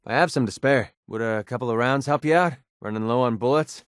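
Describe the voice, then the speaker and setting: A young American mercenary from a wasteland video game, acted by a man, with a mid-pitched voice recorded very dry. He is sardonic and colloquial, a wisecracking companion who talks in a natural, conversational way. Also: a little fast, smooth, no room sound, lightly acted. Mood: calm, easygoing. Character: wry, sarcastic young male wasteland mercenary.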